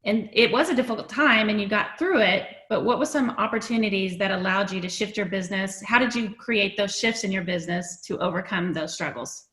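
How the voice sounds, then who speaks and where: A woman's voice: mid-range voice, a little fast, hardly any pauses, a bit bright, roomy sound. A woman hosting a talk show, asking long framing questions in a casual way. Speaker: show host. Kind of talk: casual interview chat.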